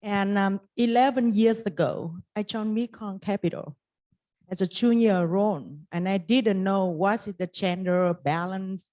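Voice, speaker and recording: A woman's voice: medium pitch, unhurried, dark tone, dry recording. A woman from Vietnam with a Vietnamese accent, a native Vietnamese speaker, telling her personal story as a panelist. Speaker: investment firm partner on a panel.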